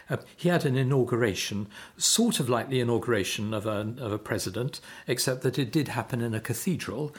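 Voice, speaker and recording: Swedish-born man, measured and thoughtful. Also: mid-range voice. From Sweden. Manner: measured, hesitant with fillers. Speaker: podcast co-host, vicar. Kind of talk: conversational theological discussion.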